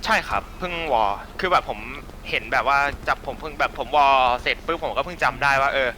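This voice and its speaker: A man with a very bright, forceful voice talks quickly in casual game roleplay. He repeats himself as he explains, over faint vehicle and street noise. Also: mid-range voice, animated. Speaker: gang boss player in a game roleplay.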